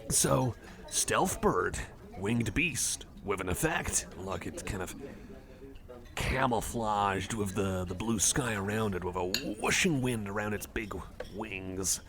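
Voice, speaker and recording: Low, creaky nerdy cyborg guy, acted by a man. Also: takes it slowly. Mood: geeky, enthusiastic. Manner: hesitant, rambling, apologetic, geeky. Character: friendly, nerdy, rambling male cyborg card enthusiast. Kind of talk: ASMR roleplay, casual rambling. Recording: cafe crowd chatter behind. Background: busy cafe chatter.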